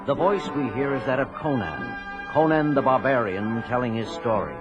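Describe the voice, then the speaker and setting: A male announcer gives a portentous audio drama introduction. His mid-pitched voice moves expressively, in a dull lo-fi recording over prominent brass music. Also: lively intonation, normal speed. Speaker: announcer narrator.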